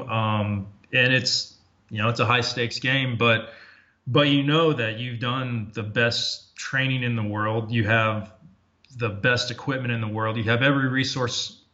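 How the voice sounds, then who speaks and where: Guy on a podcast, bright tense voice, lots of ums and restarts, muffled lo-fi sound. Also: medium pitch. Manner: reflective, many fillers and restarts. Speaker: podcast guest, former Army Ranger officer. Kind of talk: casual interview talk. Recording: dull lo-fi audio.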